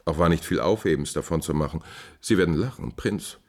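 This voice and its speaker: Deep-voiced, insinuating scheming courtier. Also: male voice, a little fast, a little mellow. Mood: mocking, dismissive. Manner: deferential, insinuating, ironic stage diction. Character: smooth-tongued, scheming male courtier serving a prince. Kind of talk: 1950s German radio play acting.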